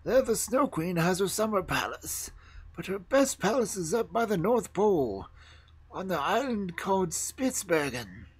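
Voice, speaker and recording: A proud storybook reindeer, acted out by a narrator, measured and wistful. The voice is husky and lifted above normal talk. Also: mid-range voice, big pitch swings, pitched above the performer's own voice. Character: wise old-world reindeer from the snowfields.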